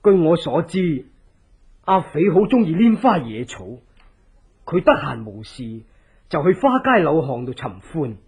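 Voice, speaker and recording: A loyal, scheming conspirator with a very raspy, fairly high voice that swings widely in pitch. His Hong Kong Cantonese delivery is deliberate, persuasive and theatrical, in radio drama style. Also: big pitch swings, exaggerated voice. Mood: calculating, instructive. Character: loyal scheming male resistance ally, earnest, deliberate advisor.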